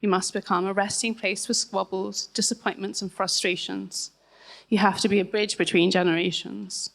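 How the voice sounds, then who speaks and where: A woman in her thirties, an author, reading her own essay aloud at a book launch, measured with occasional chatty asides. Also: medium pitch, flat delivery, vocal fry, a bit bright.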